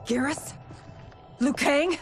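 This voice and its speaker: An actress playing a regal warrior princess in a video game cutscene, formal and earnest, often dropping to a hush. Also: female voice, sits high, slow, soft background music. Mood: confused.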